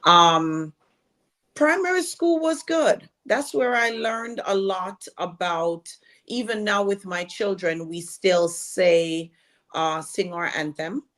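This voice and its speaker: A Jamaican woman in her forties, a guest telling stories about her childhood in an unhurried way. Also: on the low side, a bit bright. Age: 40s. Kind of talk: conversational interview.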